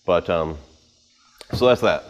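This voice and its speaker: A man in his thirties giving a casual, unscripted walkthrough to camera in an informal, rambling way, with pauses as he handles gear. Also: deep voice, expressive. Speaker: vlogger giving a boat tour. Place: home garage with the door open.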